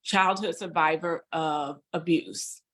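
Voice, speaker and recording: A woman gives a personal testimony over a video call, speaking earnestly and pausing often with fillers. Her voice sits on the lower side. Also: a bit bright. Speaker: guest.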